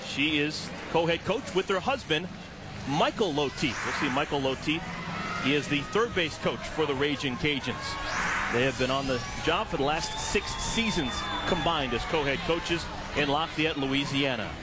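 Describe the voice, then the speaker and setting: A man calling a softball game live as play-by-play announcer, rising to excited calls on the big hits. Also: a little high, moderate pace. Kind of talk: live sports commentary. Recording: broadcast with loud crowd and music.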